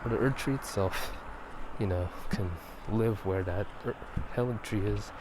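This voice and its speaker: Low, whispery man over outdoor noise. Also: normal speed. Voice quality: soft, breathy half-whisper. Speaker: ASMR video host. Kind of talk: casual ASMR game talk. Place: outdoors at night. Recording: noisy outdoor ambience. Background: drainage pipe water, passing cars.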